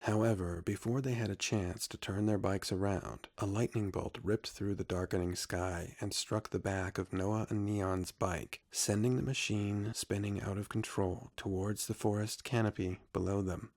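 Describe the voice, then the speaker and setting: A man's voice: lowish voice, steady tone, normal speed, few pauses, darkish tone. A man narrating a scripted adventure story.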